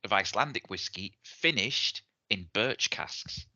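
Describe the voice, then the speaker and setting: A man's voice: lowish voice, expressive, a little slow, pushed and bright, a little hiss or hum. A man co-hosting a casual whisky podcast, chatty and rambling, laughing easily as he talks. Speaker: podcast co-host. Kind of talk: casual chat. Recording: slightly dull lo-fi sound.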